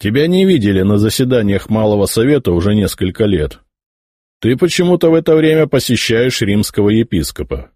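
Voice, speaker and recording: Narrator plays a guarded old churchman: low husky voice, composed delivery, audiobook. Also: no room sound, studio quality. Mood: measured. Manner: calm, measured. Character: old male prince-bishop, calm and guarded. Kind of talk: audiobook character voicing.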